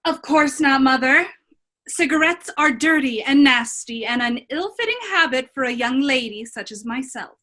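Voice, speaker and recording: A woman's voice: high voice, lively intonation, moderate pace, sharp tone. A woman playing a lonely, questioning young fairy-tale captive in an irreverent radio play, speaking in a modern conversational way. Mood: dutiful.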